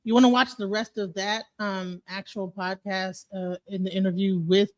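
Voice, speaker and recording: A woman's voice: mid-range voice, fairly even intonation, normal speed, no echo. A woman hosting a reaction video, talking casually and laughing between her remarks.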